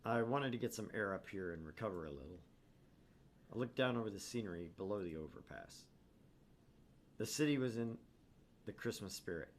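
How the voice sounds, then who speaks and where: A man voicing a haunted young male student who narrates his anguish in a brooding, measured way in a visual novel. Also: low-pitched. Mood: weary. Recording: clear background noise.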